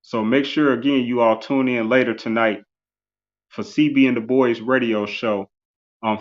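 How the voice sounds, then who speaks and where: Male host, 40s, mid pitch, rambling casual delivery, muffled lo-fi audio. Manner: loose and rambling, heavy with "you know" and "um". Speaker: host of an interview show. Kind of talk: casual live-stream show closing.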